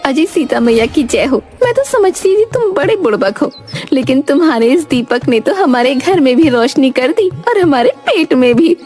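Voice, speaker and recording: High-pitched nagging village wife played by a woman. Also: expressive, normal speed, a little mellow, faint music behind. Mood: pleased, stubborn. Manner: exclaiming, scolding, repeats a pious catchphrase. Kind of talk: animated folk tale voice acting.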